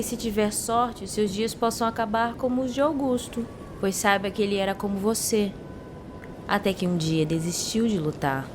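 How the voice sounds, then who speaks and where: A woman playing a seductive, sassy mermaid in an audio drama, speaking in a soft, delicate, beguiling way. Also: mid-range voice, noisy background, natural voice. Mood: intense, persuasive.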